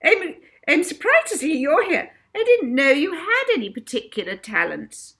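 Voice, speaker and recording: Woman putting on a haughty, high-pitched lady schoolteacher. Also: very animated, normal speed, a bit bright, full character voice. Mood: haughty disdain. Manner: haughty, exclamatory. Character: disdainful, sneezy woman schoolteacher and judge.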